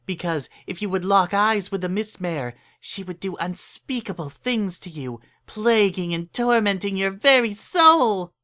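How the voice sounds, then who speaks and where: High-pitched spooky storytelling filly. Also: female voice, lively intonation, unhurried, few pauses, a bit bright. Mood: spooky, insistent.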